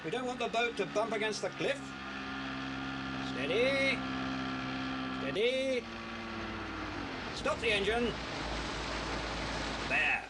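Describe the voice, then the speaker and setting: A man acting a genial, brisk grown-up guardian of children in a 1980s audio drama. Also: extremely high pitch, animated, heavily acted. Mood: brisk, directing. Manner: brisk, avuncular, giving orders. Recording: noisy old cassette tape transfer.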